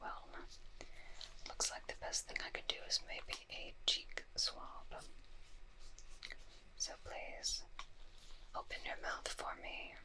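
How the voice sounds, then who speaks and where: A woman whispers slowly through an ASMR fantasy roleplay. She plays a courteous, intrigued doctor examining a supernatural patient, choosing formal, soothing words and placing each one with care. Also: poor audio. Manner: soft, formal, deliberate, soothing bedside phrasing. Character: polite, fascinated doctor examining a vampire-werewolf hybrid patient.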